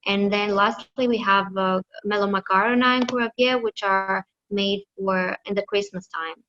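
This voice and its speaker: A woman giving a class presentation with slides, pausing often with fillers and restarting her sentences. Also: mid-range voice, fairly even intonation, bright, forceful tone, lo-fi sound, a little hiss or hum. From Greece. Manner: hesitant, many fillers and restarts. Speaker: student presenter.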